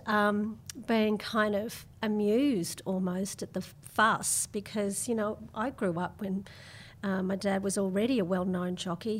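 An Australian woman speaks as a guest in a conversational interview. Her voice sits at a medium pitch, and her delivery is measured and reflective, like personal storytelling. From Melbourne, Australia. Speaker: podcast guest.

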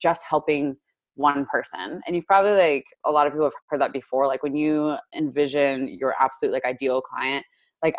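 Low-voiced chatty young woman. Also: no room sound, muffled recording. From United States, Indiana. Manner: chatty, lots of "like" fillers, laughs often. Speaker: guest, digital marketer. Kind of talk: casual interview chat.

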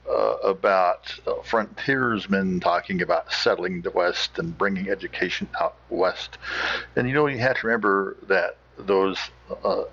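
A man hosting a show with casual, unscripted commentary, halting as he thinks aloud. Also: lowish voice, creaky, fairly bright tone, slightly rough, lo-fi sound. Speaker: podcast host.

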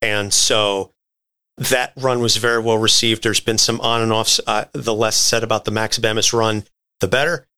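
A man's voice: lowish voice, steady tone, moderate pace, bright, forceful tone, clean tone. A man co-hosting a podcast, explaining comic book history in a conversational, articulate and wordy way. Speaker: podcast co-host.

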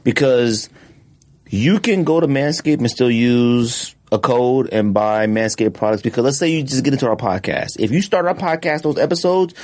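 A man hosting a podcast in casual chat, rambling and animated, his voice jumping high when he gets excited. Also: normal speed. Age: adult, has a wife. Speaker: podcast host.

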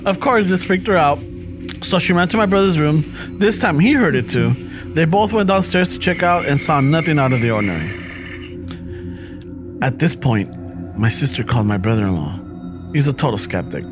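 A man with a Chicano English accent, casually reading a listener's story aloud on a podcast, relaxed and profane, mixing in Spanish phrases. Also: on the high side, moderate pace, pauses often. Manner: laid-back, swears often, laughs through lines. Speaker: podcast host. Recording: music playing underneath.

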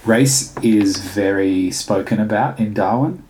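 A man's voice: on the low side, unhurried, a little mellow, slight background noise, poor audio. A man from Australia who teaches dance, talking in a reflective casual conversation, building long winding sentences and searching for words.

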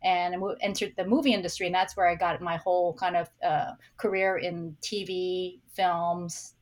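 A woman's voice: fairly low pitch, little pitch movement, normal speed. A middle-aged woman, born in San Francisco and raised in Hong Kong, talking reflectively as a podcast guest and often restarting her sentences. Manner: reflective, with frequent restarts and fillers. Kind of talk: casual interview talk.

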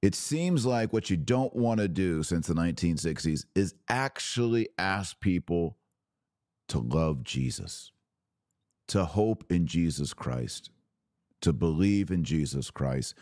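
A man hosting a podcast, giving religious commentary in a deliberate way with pauses and emphatic phrasing. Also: deep voice, expressive, darkish tone, no echo, studio quality. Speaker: podcast host.

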